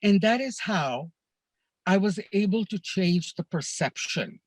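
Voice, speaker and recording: A man from Kenya, a podcast guest, telling stories of his life abroad in an earnest, measured way, often pausing on "uh" and "you know". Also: high-pitched, lively intonation, no room sound. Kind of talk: reflective storytelling in a conversation.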